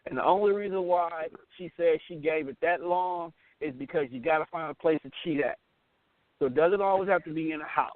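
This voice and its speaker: A man's voice: a little high, fairly quick, dry recording. An American man, middle-aged, talking casually and candidly as a radio show host, blunt and emphatic, often repeating his phrases. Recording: tinny, phone-like band-limited audio.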